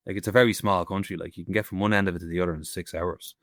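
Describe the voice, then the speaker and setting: A man in his thirties with an Irish accent rattles along quickly, restarting and hunting for the right word. His voice is on the low side and the recording is dry and close. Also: fairly even intonation, fairly bright tone, no room sound. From Ireland. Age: 30s. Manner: rambling, searching for words, many restarts. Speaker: guest stone carver. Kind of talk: casual interview chat. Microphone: remote call.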